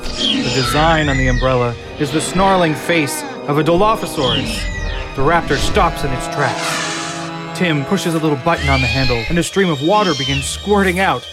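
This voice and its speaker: Measured male narrator over music. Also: fairly high pitch. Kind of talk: scripted audio-drama narration. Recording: clear music underneath.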